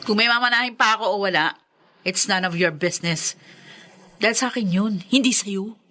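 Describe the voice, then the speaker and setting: A woman playing a shrewd, sharp-tongued daughter in a radio drama, bossy and snappy. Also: mid-range voice, normal speed, close to an everyday voice. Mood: defiant.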